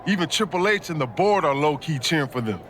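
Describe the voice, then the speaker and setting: A man's voice: a little high, lively intonation, quick pace, fairly bright tone, a little hiss or hum. A man playing a laid-back, street-smart, disillusioned pro wrestler in a video game cutscene, talking in slang. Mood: incredulous.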